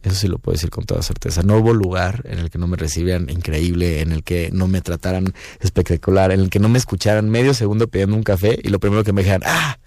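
A Mexican man speaks in a very low, mellow voice with a dry, close sound. He chats loosely and meanders, filling pauses as he thinks aloud in a radio booth interview. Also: dry recording. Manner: relaxed, rambling, frequent fillers and "¿no?". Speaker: guest musician. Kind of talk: casual interview talk.

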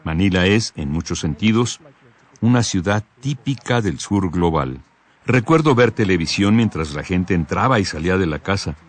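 A man giving calm, scripted radio narration, reading a reflective first-person account in measured, unhurried phrases. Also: sits low. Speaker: radio program voice reading a composer's testimony. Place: radio studio.

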